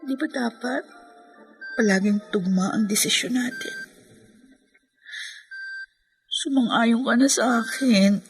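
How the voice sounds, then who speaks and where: A woman's voice: a little high, huge pitch swings, unhurried, soft background music. A Filipino woman playing a weary, devoted young mother and wife in an emotional, pleading radio drama style. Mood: tearful pleading. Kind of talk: Tagalog radio drama acting.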